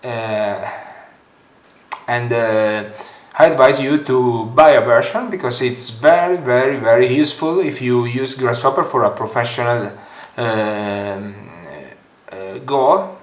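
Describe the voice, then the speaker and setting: A young man with an Italian accent, a non-native English speaker, talking through a software tutorial with many fillers and restarts. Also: mid-range voice, takes it slowly, roomy sound. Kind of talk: screen-recorded software tutorial.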